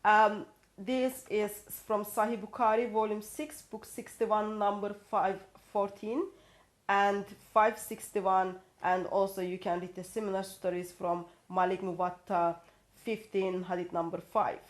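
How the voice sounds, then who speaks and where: A woman's voice: mid-range voice, little pitch movement, unhurried. A woman from Turkey, speaking English as a guest, hesitant with restarts as she reads out references. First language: Turkish. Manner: hesitant, with many fillers and restarts, reading out references. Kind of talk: conversational discussion.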